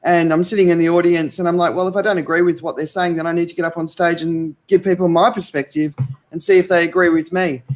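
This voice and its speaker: A woman's voice: fairly low pitch, little pitch movement, quick pace, darkish tone. A middle-aged woman with an Australian accent, talking as a guest in an interview and recounting her career in an enthusiastic, rambling way.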